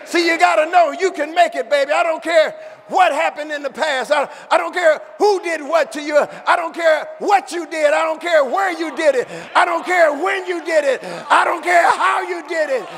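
A male pastor preaches in a very high, gravelly voice, shouting his lines with fervent repetition. The sound carries a long church reverb, and a congregation is faintly audible behind him. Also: normal speed, a faint crowd. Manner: fervent call-and-response preaching, repeats phrases. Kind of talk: shouted sermon. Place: church sanctuary. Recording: echoey hall with congregation.

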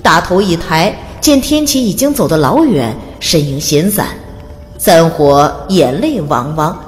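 A woman's voice: deep voice, expressive, a little slow, long reverb tail, a little hiss or hum. A woman narrating a fantasy audiobook in a dramatic, scripted storytelling style. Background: music.

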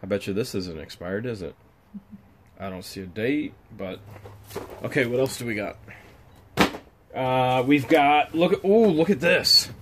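Excitable man unboxing storage finds. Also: medium pitch, measured pace, long pauses, slight background noise. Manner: excitable, exclaims over each find. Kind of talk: casual unboxing chat.

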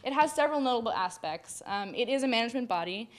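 A woman's voice: on the high side, animated, fast. A young woman giving a student presentation from a podium in a lecture hall, reading prepared points with small stumbles and fillers. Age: young adult. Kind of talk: academic presentation.

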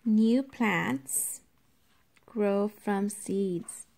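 A woman teacher reading a nonfiction book aloud to young children, explaining it in a deliberate, instructive way and addressing them directly. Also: medium pitch, gravelly, darkish tone.

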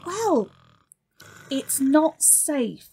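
A woman's voice: high-pitched, very animated, drawn-out words, mellow, full character voice. A woman playing a chatty, sycophantic demon servant in an audio comedy drama, speaking with eager deference. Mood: anxious, cautioning. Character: Crabby, tipsy sycophantic demon minion of Satan.

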